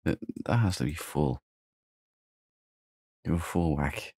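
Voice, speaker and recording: A man's voice: a bass voice, lively intonation, takes it slowly, darkish tone, slightly rough, dry recording, clean studio sound. A man giving casual let's play commentary, muttering and thinking aloud as he goes. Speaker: gamer.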